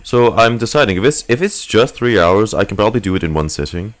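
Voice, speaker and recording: A man's voice: on the low side, lively intonation, fast talker. A man chatting casually on a game livestream, rambling as he thinks aloud and swearing now and then. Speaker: game streamer. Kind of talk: casual livestream chat while gaming.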